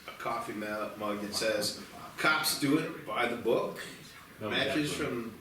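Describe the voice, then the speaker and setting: A man's voice: mid-range voice, background noise. A man reading scripted stage directions as the narrator at a screenplay table read. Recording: very echoey room, distant mic, music behind.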